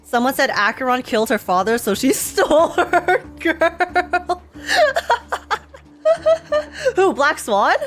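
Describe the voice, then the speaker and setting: A young woman streamer giving casual, slangy, excitable live reactions to a game. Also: high voice, takes it slowly, long pauses. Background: game music in background.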